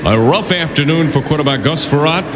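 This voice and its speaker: A man anchoring radio sports news, reading scripted scores with deadpan jokes mixed in. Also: a little high, fairly quick. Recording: clearly noisy background.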